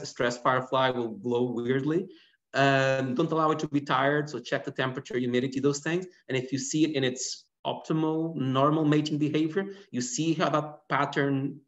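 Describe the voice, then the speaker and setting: A man in his thirties from Portugal, answering lecture questions over a video call with lively, animated explanations. His voice sits at a middle pitch and comes through a laptop mic. The audio is somewhat dull and lo-fi. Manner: animated, gesturing while explaining. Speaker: guest biologist. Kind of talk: online lecture Q&A. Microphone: laptop webcam mic on video call.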